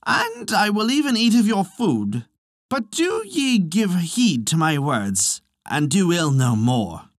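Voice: mid-range voice, wildly swooping pitch, slow, dry recording, studio quality, pitched above the performer's own voice, exaggerated voice. A storyteller voicing a tiny, proud male fairy poet with a declamatory, archaic and haughty delivery. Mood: self-righteous.

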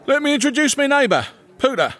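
A man voicing a loud, vulgar, jovial English tradesman in a comic period audiobook, overfamiliar and coarse in manner. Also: very high voice, very animated, fast, voice pushed up, full character voice. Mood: boisterous.

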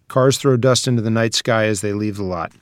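A man's voice: on the low side, a little fast, clear, resonant tone, dry recording, clean studio sound. A man reading stage directions as scripted screenplay narration.

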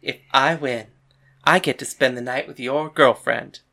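Woman voicing a cocky, low-voiced young female fighter. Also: fairly even intonation, a little slow, fairly bright tone, exaggerated voice. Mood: teasing.

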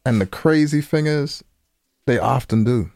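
A man's voice: mid-range voice, a little slow, gravelly, darkish tone, no room sound, clean studio sound. A man co-hosting a casual advice show, talking in slang, bluntly, and repeating phrases for emphasis. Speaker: podcast co-host giving advice. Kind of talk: casual advice banter with co-host.

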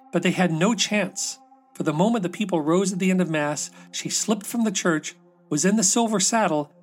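A man narrating a fairy tale as a scripted storybook reading, with music behind him. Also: high-pitched. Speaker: storybook reader.

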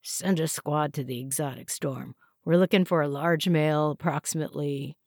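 A man's voice: on the high side, normal speed, no echo, studio quality, close to an everyday voice. A woman voicing a tall male interrogating officer who speaks in curt commands. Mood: cold.

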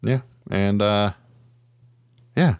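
Low-voiced American man, casual podcast chat. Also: extremely slow, smooth, dry recording. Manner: blunt, profane, animated storytelling with mimicked quotes. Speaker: podcast co-host.

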